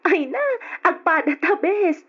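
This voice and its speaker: A woman's voice: very high voice, unhurried. A woman playing an eager, helpful young student in a radio drama. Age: young adult. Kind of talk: Ilocano radio drama acting. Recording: dull lo-fi old radio recording.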